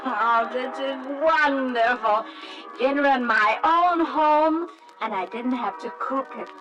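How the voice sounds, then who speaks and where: An affectionate young mother from an old radio play, speaking slowly and tenderly. Her highish voice swoops with gushing sentiment, with some music behind. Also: dull audio. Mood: tender, happy. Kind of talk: old-time radio drama acting.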